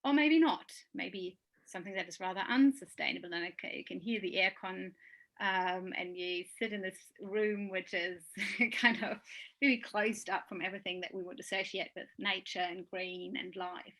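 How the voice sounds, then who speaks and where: A woman's voice: mid-range voice, moderate pace, fairly bright tone. A woman hosting a webinar, asking questions thoughtfully and searching for words as she goes. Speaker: webinar host. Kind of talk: casual webinar conversation.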